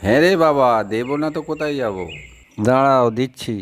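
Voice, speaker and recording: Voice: mid-range voice, fairly quick, natural voice. An actor playing an indulgent, teasing old grandfather in a cartoon. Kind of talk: cartoon voice acting.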